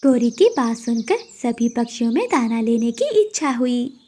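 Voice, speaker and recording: A woman narrator of a children's animated story. Her voice is high-pitched with a mellow, rounded tone, delivering scripted storytelling. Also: moderate pace.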